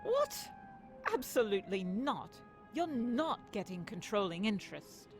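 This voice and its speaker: A woman's voice: medium pitch, very animated, measured pace, faint music behind. A woman playing a proud, defiant young political aide in a comic dub, speaking firmly and assertively. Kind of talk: acted comic dub dialogue.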